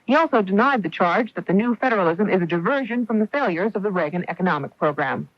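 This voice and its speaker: A woman's voice: mid-range voice, expressive, quick pace, dry recording. An American woman delivering a scripted news report as a radio correspondent, on an old broadcast recording. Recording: dull lo-fi 1980s radio broadcast.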